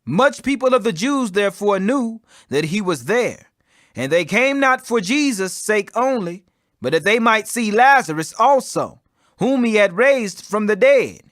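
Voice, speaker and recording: A man reading the Bible aloud as a dramatic audiobook, declamatory and expressive, with emphasis on quoted speech. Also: high voice, measured pace, no echo, studio quality. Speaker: scripture reader.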